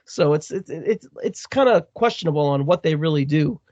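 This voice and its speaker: A man with a Boston accent co-hosting a casual wrestling podcast, animated and prone to repeating words. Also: a little high, moderate pace, no room sound, lo-fi sound. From Massachusetts, USA. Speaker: podcast co-host.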